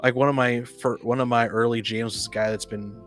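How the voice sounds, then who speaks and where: A man co-hosting a casual podcast discussion, talking in a halting way and often rephrasing mid-sentence. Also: mid-range voice, steady tone, faint music behind. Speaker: podcast co-host. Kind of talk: casual discussion of tabletop roleplaying.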